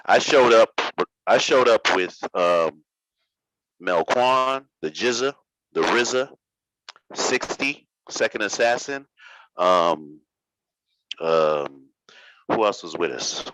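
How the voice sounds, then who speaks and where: A man from New York hosting a casual livestream chat, telling stories in a relaxed, rambling way with many fillers. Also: lowish voice, slow pace, long pauses, dry recording, low-quality recording. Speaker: host.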